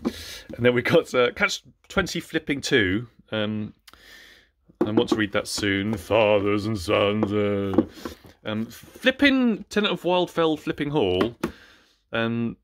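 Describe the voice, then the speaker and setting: A man speaks in a somewhat low voice. He chats informally to the camera, rambling and hesitating as he goes. His sentences often break off and start again. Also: animated, slow, noisy background. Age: 30s. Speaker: book reviewer vlogger. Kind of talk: casual chat to camera about books. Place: small indoor room with bookshelves.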